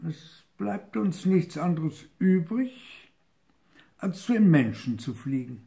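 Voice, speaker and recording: A man's voice: on the high side, big pitch swings, slow, vocal fry, mellow, voice pushed up, full character voice. An older man voicing a wise old owl, earnest and resigned, in a storybook read-aloud. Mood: pensive, hopeful.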